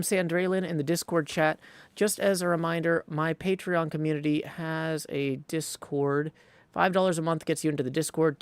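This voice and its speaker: A man's voice: on the high side, creaky, dry recording. A man hosting a livestream with casual, rambling game commentary, addressing viewers and reading text aloud. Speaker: livestream host and gamer. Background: video game sound.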